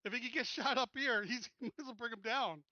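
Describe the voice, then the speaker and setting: A man's voice: very high-pitched, fast, bright, forceful tone, no room sound, lo-fi sound. A man taking part in a tabletop roleplaying game as a player, talking casually about the game.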